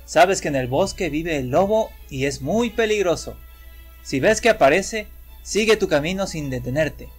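Caring storybook mother, gentle and cautioning, raised in pitch, done by a man. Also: animated, moderate pace, husky, soft background music. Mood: tender. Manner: gentle and warning. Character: caring, worried mother of a little girl.

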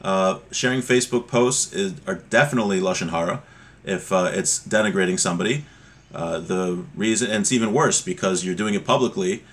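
A middle-aged man giving an informal religious lesson, explaining with examples in a conversational, somewhat halting way. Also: low voice. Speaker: religious teacher. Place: small home room, indoors. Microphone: webcam or laptop mic at desk distance.

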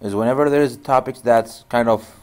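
A man's voice: mid-range voice, brisk, a little mellow, clean tone. A man whose native language is Arabic, walking through a networking tutorial in loose, rambling speech with frequent restarts. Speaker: networking instructor. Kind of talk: screen-recorded tutorial.

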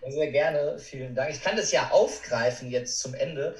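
A man's voice: mid-range voice, normal speed. A man speaking informally as a guest in a casual podcast conversation. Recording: roomy, echoing sound.

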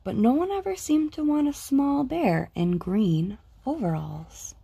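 A woman narrating a children's picture book in a lilting, expressive read-aloud style. Her voice is mellow and warm, with a gravelly, husky grain, and her pitch rises and falls widely. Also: unhurried. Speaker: storybook reader.

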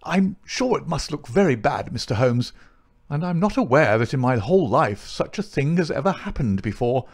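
A man voicing a pompous, indignant, respectable older gentleman in an audiobook story, formal and huffy. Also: mid-range voice, expressive, moderate pace, pitched above the performer's own voice. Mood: rueful, earnest. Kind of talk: audiobook character acting.

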